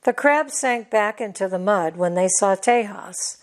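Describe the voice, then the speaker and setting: Slow-paced woman reading a children's story. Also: mid-range voice. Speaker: storybook reader. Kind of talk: scripted children's story narration.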